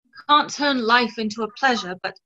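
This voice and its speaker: A young woman playing an earnest, resolute gentlewoman in love in a stage play reading, composed and carefully enunciated, over a video call. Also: on the high side, normal speed. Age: young adult. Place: small room at home, video call. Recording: dull video-call audio.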